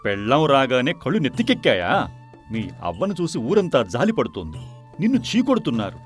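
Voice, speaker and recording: A man's voice: medium pitch, wildly swooping pitch, fairly quick. A man playing an indignant village man in a moral story, blunt and reproachful, with emphatic questions and a streak of pity. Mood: scornful, angry. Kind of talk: dramatized moral story acting. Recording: music behind the voice.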